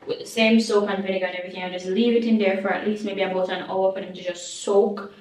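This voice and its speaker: Young jamaican woman vlogging at home, bit hoarse, rambly, echoey room, kinda far from the mic. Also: mid-range voice, level tone, quick pace. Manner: rambling, many ums, restarts and trailing-off quiet endings. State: slightly hoarse, lost voice. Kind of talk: casual daily vlog narration. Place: at home, bathroom.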